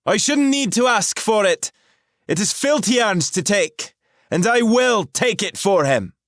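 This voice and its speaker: A man's voice: high-pitched, expressive, measured pace, fairly bright tone, no echo, clean studio sound, pitched above the performer's own voice. A man voicing a fierce young woman who guards a wolf mage, in a haughty, commanding tone. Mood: defiant. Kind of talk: audiobook character voice.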